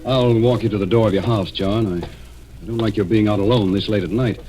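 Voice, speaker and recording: An actor as an earnest male district attorney in a 1940s radio mystery. His low voice moves in halting, dramatic phrases, with clear music behind it. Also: fairly even intonation, dark tone, lightly acted, low-quality recording. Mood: concerned.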